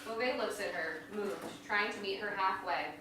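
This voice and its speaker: A woman's voice: medium pitch, normal speed. A woman reading stage directions aloud as scripted narration. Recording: echoey hall, distant mic, faint noise.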